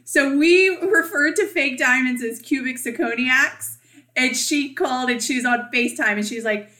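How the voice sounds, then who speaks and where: Bright, chatty woman podcast host. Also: high-pitched, normal speed, reverberant. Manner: chatty, laughs often, acts out quoted voices. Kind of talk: casual podcast chat.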